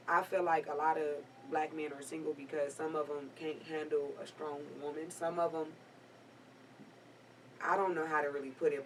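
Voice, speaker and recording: A woman in her twenties speaking candidly in a casual panel chat, laid-back with drawn-out phrasing. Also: low-pitched, recorded from a distance, slight background noise, rough recording. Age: 20s. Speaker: guest panelist.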